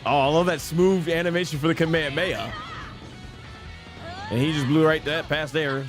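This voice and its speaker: A man's voice: fairly high pitch, normal speed. A man giving casual live commentary as a gaming streamer. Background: game music.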